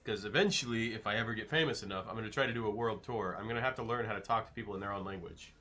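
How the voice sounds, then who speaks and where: A man's voice: on the low side, racing, bright, forceful tone, soft background music. A man streaming live, casually reading and answering his chat with loose, joking replies.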